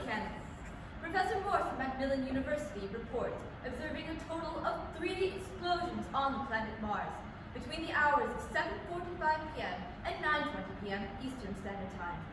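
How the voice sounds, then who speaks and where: Casting: woman as formal 1930s studio bulletin announcer, high voice; very reverberant, distant recording. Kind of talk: staged reading of 1938 radio drama script. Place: staged reading in a public library room. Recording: reverberant hall, distant mic, noisy.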